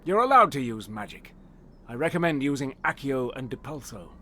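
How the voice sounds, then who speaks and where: An actor playing a friendly, encouraging wizard guide in a video game, with a reassuring manner. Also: male voice, mid-range voice, very animated, a little fast, sharp tone. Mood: welcoming, reassuring. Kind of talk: scripted video game cutscene acting.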